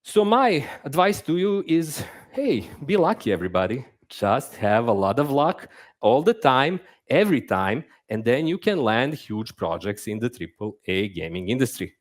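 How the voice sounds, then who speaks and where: Animated man giving a conference talk. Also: mid-range voice, moderate pace. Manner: animated, conversational, self-deprecating humor. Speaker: conference speaker, game narrative designer. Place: stage at a conference.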